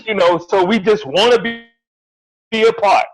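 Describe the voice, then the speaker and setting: A man's voice: sits high, normal speed, rough recording. A man speaking bluntly on a casual panel discussion, joking and swearing freely.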